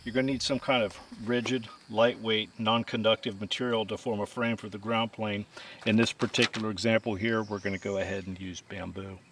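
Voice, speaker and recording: A man calmly explaining a hands-on build step by step, in a practical, methodical way. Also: fairly low pitch, fairly quick, slight background noise. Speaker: instructor. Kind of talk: step-by-step how-to instruction.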